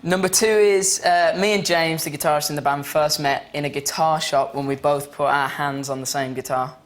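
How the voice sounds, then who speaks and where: A young man, a guest telling a laughing, animated story on a TV chat show, on a lapel mic in a studio. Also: on the high side, moderate pace. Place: bright TV studio set.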